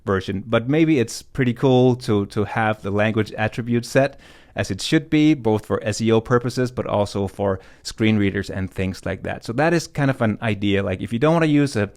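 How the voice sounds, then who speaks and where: Low-voiced man teaching casually. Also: moderate pace, a little mellow. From Denmark. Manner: informal, explanatory. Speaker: web development tutorial host. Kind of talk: casual screen-recorded tutorial.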